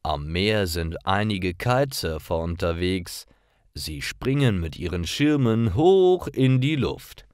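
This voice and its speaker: Low-voiced man narrating with lively swings. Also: slow pace, clean tone, no echo, clean studio sound. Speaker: narrator of stories for language learners. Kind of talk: scripted storybook narration for learners.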